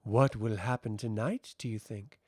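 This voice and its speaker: A man's voice: fairly low pitch, steady tone, moderate pace, a little mellow, no room sound, studio quality, voice pushed down, lightly acted. A man voicing a haughty, very tall fairy queen, imperious, cold and mocking, in a fantasy audiobook. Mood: uneasy.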